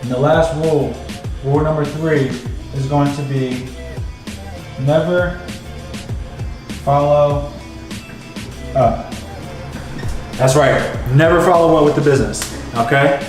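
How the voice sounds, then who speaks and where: A young man with a stuffy, cold-blocked voice, pitched a little high, teaches at a whiteboard in a bright office. His delivery is direct and energetic, and he keeps checking in with the viewer. The room echoes, and music plays clearly behind him. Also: takes it slowly, long pauses. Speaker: business coach. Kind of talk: whiteboard tutorial.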